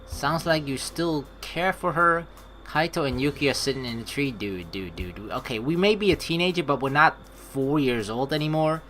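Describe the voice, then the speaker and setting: A man doing game commentary as a let's player, reading narration and lines aloud in an animated, rambling, informal way. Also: a little high, moderate pace, slight background noise.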